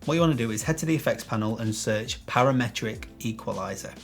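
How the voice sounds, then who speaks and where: A man with a bassy voice, explaining a video-editing tutorial to camera in a chatty, self-deprecating way, recorded on a shotgun mic in a small room. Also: fast talker, faint music behind. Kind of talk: casual tutorial talk to camera. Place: small room with some echo. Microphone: shotgun microphone.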